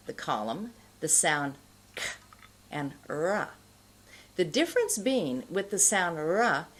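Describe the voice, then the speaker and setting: A middle-aged woman giving an instructional pronunciation lesson to camera, enunciating deliberately and carefully. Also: low-pitched. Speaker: pronunciation teacher.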